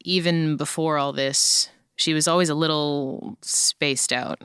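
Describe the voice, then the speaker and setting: An actor playing an informal, slangy narrator who talks fondly of coworkers in an audio drama. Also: male voice, on the high side, steady tone, unhurried, bright, forceful tone, clear, resonant tone, no echo, studio quality. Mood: fond, casual. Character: casual, blunt narrator, a fond coworker of the characters.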